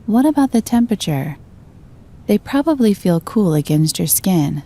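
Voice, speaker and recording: A woman narrating a scripted guided meditation in a gentle, calm and soothing manner. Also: medium pitch, normal speed.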